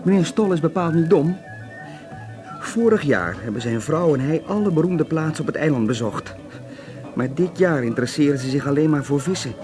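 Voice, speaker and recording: A man's voice: fairly high pitch, expressive, normal speed, over music, close to an everyday voice. An actor playing a polite, deferential local man who serves island guests and gossips eagerly, in a Dutch radio play. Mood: obliging, gossipy.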